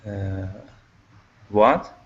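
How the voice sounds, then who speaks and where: Male non-native student from Iran, halting and unhurried, dull lo-fi call sound. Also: fairly low pitch, fairly bright tone, husky. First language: not English. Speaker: English learner, student. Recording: muffled, lo-fi online call.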